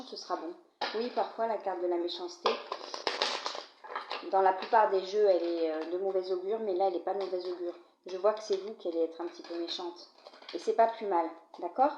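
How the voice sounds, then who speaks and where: A woman with a cold talks through a card reading, addressing the listener directly and checking in often. Her voice sits at a middle pitch. The recording is dull and quiet, with a roomy echo. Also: background noise. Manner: conversational, addresses viewer directly with frequent "d'accord?". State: has a cold, coughing. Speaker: card reader. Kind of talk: tarot-style card reading to camera. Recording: low-volume, dull, roomy home recording.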